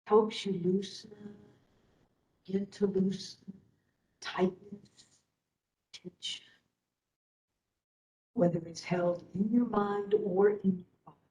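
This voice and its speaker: A woman instructor leading a guided meditation in a soothing way, with long pauses between short phrases. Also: medium pitch, fairly even intonation, warm tone. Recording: roomy echo, distant from the microphone.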